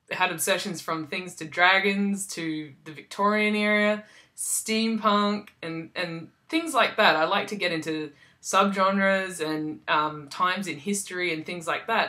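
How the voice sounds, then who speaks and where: A woman's voice: sits low, pushed and bright. A young woman from South Australia with a general Australian accent, chatting casually to camera in a loose way, with many ums and restarts. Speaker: vlogger.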